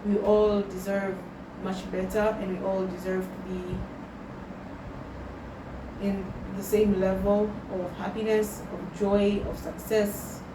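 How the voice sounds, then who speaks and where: A young woman from Tanzania, talking slowly and thoughtfully in a personal vlog and slipping Swahili words into her English. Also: medium pitch, flat delivery. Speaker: vlogger. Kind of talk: reflective personal vlog, answering questions. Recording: roomy sound from a distant mic with loud background noise.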